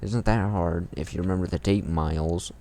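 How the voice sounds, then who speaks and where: Low-voiced man mockingly reading fan fiction. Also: moderate pace, mellow, slight background noise. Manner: irreverent, crude, profane. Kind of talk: mocking read-aloud with ad-libbed comments.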